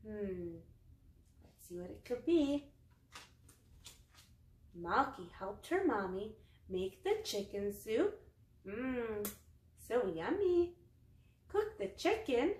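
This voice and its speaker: A woman's voice: mid-range voice, big pitch swings, drawn-out words, long pauses, a little mellow. A young woman hosting a children's storytime, reading a picture book aloud in simple sing-song phrases. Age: young adult. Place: small living room, seated on a couch. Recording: roomy indoor echo.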